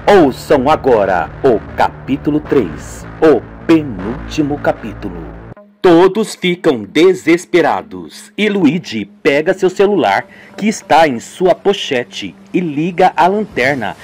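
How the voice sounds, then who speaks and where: A man's voice: fairly high pitch, very animated, measured pace, darkish tone, faint music behind. A man narrating a homemade radio drama in a dramatic storytelling manner.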